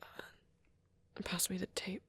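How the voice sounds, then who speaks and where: A man voicing a shy, soft-spoken vampire boy in an ASMR roleplay, hesitant and breathy, often slipping into a whisper. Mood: shy, rambling. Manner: hesitant, halting, gently spoken. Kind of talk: ASMR, soft rambling and reading aloud.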